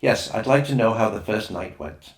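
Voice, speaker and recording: A man voicing a kindly, brisk mother who playfully poses as a native in a storybook. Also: fairly low pitch, lively intonation, creaky. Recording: roomy, echoing room sound.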